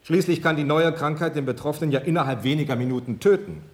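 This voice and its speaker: A man playing an earnest, evasive doctor in a 1970s radio drama, speaking in a measured, resigned way. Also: fairly high pitch, natural voice. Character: earnest, evasive male doctor facing the press.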